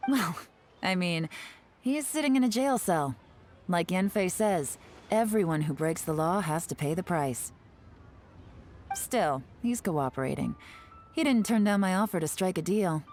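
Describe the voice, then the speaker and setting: A woman's voice: lowish voice, lively intonation, measured pace, long pauses, a little mellow, soft background music. A woman voicing a composed, calculating female spy in a video game, speaking in a wry, self-assured way. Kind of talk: game cutscene dialogue.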